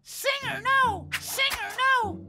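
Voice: very high-pitched, slow pace, full character voice. A performer voicing a small, eager male parrot-like cartoon bird who squawks short, repeated phrases in broken baby talk. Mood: objecting. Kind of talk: preschool cartoon sidekick. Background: children's music.

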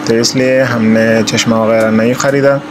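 A young man from Pakistan narrating a casual vlog at an outdoor market, talking in a halting way with many fillers and pauses. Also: mid-range voice, flat delivery, very noisy. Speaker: vlogger. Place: outdoor market, then indoors at end. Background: background music.

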